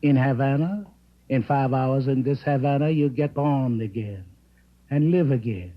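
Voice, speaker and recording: An actor playing an easygoing Caribbean calypso singer in old radio drama, with a Caribbean accent and lilting manner, on a muffled recording. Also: male voice, medium pitch, close to an everyday voice. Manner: lilting, unhurried, musical. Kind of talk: 1940s-50s radio drama acting. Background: plucked guitar.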